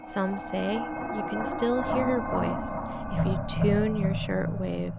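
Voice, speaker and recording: A woman's voice: medium pitch, takes it slowly, creaky, loud music behind the voice. A woman reading a scripted horror story as its narrator.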